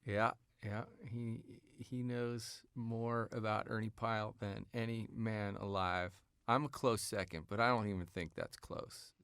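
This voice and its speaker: Man, fairly low pitch, dry recording, informal rambling phrasing, casual podcast discussion. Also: fairly even intonation, unhurried, hardly any pauses, a bit bright, smooth, dry recording.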